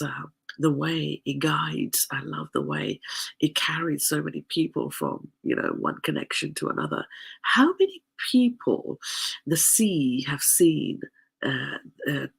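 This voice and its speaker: Woman, nasal voice, gravelly and husky, slow, lively pitch, rambling casual talk. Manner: chatty, rambling, frequent fillers and laughs. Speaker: podcast host.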